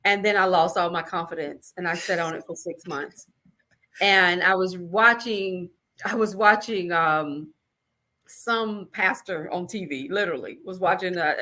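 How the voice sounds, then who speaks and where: A woman's voice: on the low side, sharp tone. Upbeat woman from Alabama interviewing. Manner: enthusiastic, encouraging, repeats words when starting. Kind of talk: casual interview chat.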